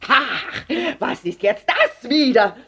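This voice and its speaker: Menacing male neighbour, played by female reader: loud, short-winded, hot-tempered, big pitch swings, breathy rasp. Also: very animated, slow, full character voice. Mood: outraged. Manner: wheezing, shouting, menacing.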